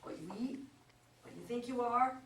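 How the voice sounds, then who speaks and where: A woman's voice: on the high side, moderate pace, natural voice. A woman playing a flustered, apologetic, puzzled character in a staged play reading. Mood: flustered, defensive. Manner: hesitant, puzzled. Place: echoey room at a live reading. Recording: echoey room, distant mic, faint noise.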